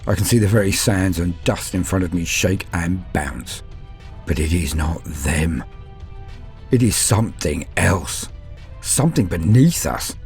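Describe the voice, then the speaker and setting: A man's voice: deep voice, animated, darkish tone, faint music behind. A man playing a fearful, despairing human soldier, telling his story in grave, theatrical first-person narration. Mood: awed horror. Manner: grave, theatrical, deliberate phrasing.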